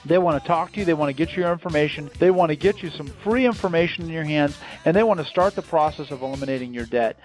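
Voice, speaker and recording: A man giving an emphatic, salesy pitch as a company founder on a promotional call, repeating phrases for effect. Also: fast, hardly any pauses, faint music behind. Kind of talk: promotional interview on a conference call.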